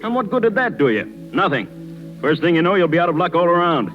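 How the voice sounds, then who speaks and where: An actor playing a gruff circus boss who talks in blunt, scolding slang in 1930s radio drama style. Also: male voice, sits high, sing-song, brisk. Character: gruff, hard-nosed male circus boss. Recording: dull 1930s radio recording, music behind.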